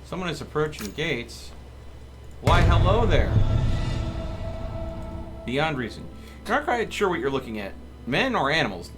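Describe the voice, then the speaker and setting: A man streams a video game with casual running commentary. His voice moves around a lot in pitch as he mutters to himself and dramatizes on-screen text. Music plays clearly behind him. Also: sing-song, a little slow, pauses often. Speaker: gaming streamer. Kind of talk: casual live gameplay commentary, reading game text aloud.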